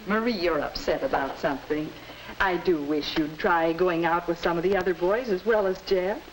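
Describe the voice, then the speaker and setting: A woman's voice: lowish voice, moderate pace, close to an everyday voice, rough recording. A middle-aged woman playing a careful, concerned mother in a 1950s instructional film. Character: concerned, gently probing mother of a teenage girl. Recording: hissy 1950s educational film soundtrack.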